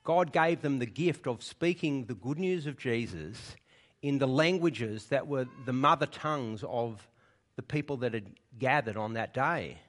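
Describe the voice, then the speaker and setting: A man in the pulpit preaching to his congregation, his voice sitting at an ordinary middle pitch. He explains a passage in an easy, conversational way. He keeps checking in with the people listening as he goes. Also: lively intonation, measured pace, fairly bright tone. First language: English. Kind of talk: sermon. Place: church.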